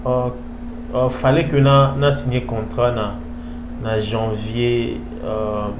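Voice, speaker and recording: Halting Congolese man in an echoey, noisy room. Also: medium pitch, flat delivery. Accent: Congolese French. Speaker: interview guest, professional footballer. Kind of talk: casual interview answers, mixing languages. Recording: echoey room, clear background hum.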